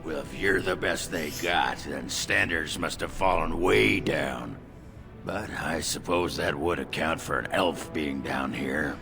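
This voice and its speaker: An actor playing a crude, boastful, drunken dwarf warrior in a fantasy video game, gruff and gravelly. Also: male voice, a bass voice, unhurried, full character voice. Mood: boastful, mocking. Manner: gruff, coarse. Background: game music.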